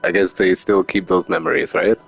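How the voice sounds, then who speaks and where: Low-voiced man casually commentating on a game. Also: level tone, fairly quick, fairly bright tone, breathy edge, soft background music. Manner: slangy, joking. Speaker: game streamer.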